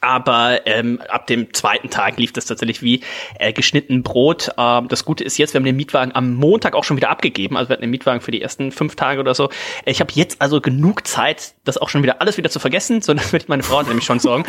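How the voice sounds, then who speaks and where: A man co-hosting a podcast, chatting casually and telling stories in a lively way. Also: medium pitch, fast. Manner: chatty, storytelling. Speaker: podcast co-host. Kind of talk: casual chat. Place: hotel room abroad.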